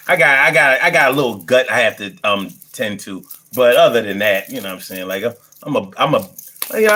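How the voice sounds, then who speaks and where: Brash, animated man in his fifties. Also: fairly low pitch. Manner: brash, heavily profane, slangy street talk; animated, repeats phrases for emphasis. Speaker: livestream host. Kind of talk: casual livestream chat.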